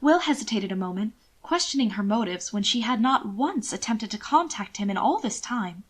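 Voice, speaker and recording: A woman narrating an audiobook, reading scripted fiction in an expressive storytelling style. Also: medium pitch, moderate pace.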